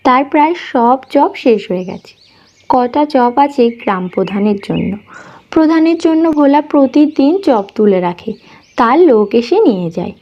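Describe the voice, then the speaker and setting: Female cartoon narrator: high, soft-toned delivery, scripted storytelling, slightly dull audio over music. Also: lively intonation, a little slow.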